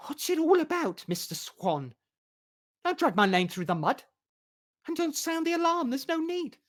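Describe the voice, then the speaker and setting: A man voicing a smooth, placating male halfling merchant in an audiobook. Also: very high-pitched, very animated, voice pushed up. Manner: placating, quick.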